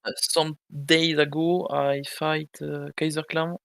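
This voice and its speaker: A French man speaking English with a French accent, a tournament player answering interview questions haltingly while searching for words. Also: fairly high pitch, little pitch movement, vocal fry, fairly bright tone, clean tone, no room sound. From France. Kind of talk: casual interview over voice chat.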